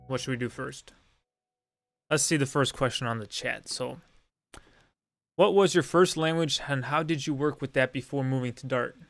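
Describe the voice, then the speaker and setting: Gravelly young man chatting on a live stream. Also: medium pitch, normal speed, pauses often, fairly bright tone. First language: Lithuanian. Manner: rambling and self-deprecating, many fillers. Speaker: streamer hosting a Q and A. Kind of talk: casual live-stream chat.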